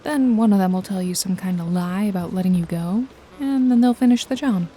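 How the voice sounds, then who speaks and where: A woman's voice: medium pitch, a little fast, warm tone, a little hiss or hum, natural voice. A woman playing a wry, jaded detective and hired killer in a noir ASMR roleplay, nonchalant and teasing with a casually menacing edge. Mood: watchful, matter-of-fact. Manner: sardonic, foul-mouthed, nonchalant, teasing. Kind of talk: noir ASMR roleplay, intimate one-sided dialogue to listener. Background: jazzy music and rain effects.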